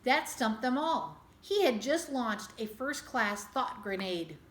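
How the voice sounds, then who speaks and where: A woman teacher reading a children's book aloud as an expressive storybook narrator. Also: a little high, slow pace. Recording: echoey room.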